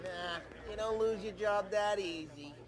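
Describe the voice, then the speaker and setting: A man's voice: high-pitched, measured pace. A man playing a streetwise, blunt gangster in a video game, casual and wisecracking. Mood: gruff, guarded. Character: streetwise, cocky mob enforcer, adult man. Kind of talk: video game character acting.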